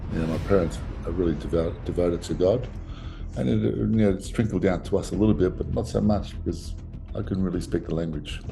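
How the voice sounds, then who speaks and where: A man's voice: sits low, fairly quick, vocal fry, warm tone, soft background music. A man in his sixties with an Australian accent, talking bluntly and with many restarts in a casual interview. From Melbourne, Australia. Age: 60s. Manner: blunt, rambling, restarts often.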